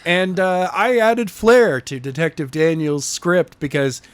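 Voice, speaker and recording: Higher-voiced man, very dry sound. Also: steady tone, a little slow, clear, resonant tone, no echo. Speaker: amateur audiobook narrator and podcast co-host. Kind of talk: novel reading and casual discussion.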